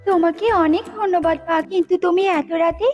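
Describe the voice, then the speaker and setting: A woman's voice: very high-pitched, steady tone, brisk, darkish tone, muffled recording. A woman voicing a kind young fairy in a cartoon, sweet, imploring and childlike. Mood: kind, reassuring. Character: kind young female fairy, gentle helper. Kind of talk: cartoon dubbing. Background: music.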